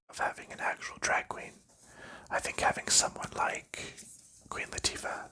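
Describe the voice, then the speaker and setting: Bright whispering man, chatty ASMR. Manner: soft rambling chatty whisper. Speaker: ASMR host. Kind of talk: whispered ASMR board game unboxing.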